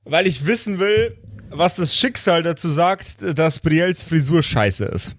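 A man narrating an improvised tabletop role-play as game master, with deliberate, theatrical description. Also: a little high, expressive. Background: faint music.

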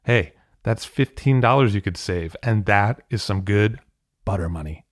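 A man hosting a podcast, asking brisk, upbeat questions and reading ads. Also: lowish voice, no room sound. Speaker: podcast host. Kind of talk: podcast interviewing and ad read. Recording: dry close-mic studio sound.

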